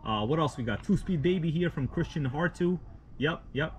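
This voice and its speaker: A man from Russia, a native Russian speaker, hosting a casual live stream outdoors at night, rambling in a relaxed, slangy way with many fillers. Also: a little high, normal speed, lo-fi sound, a little hiss or hum. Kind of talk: casual live stream chat, reading viewer comments.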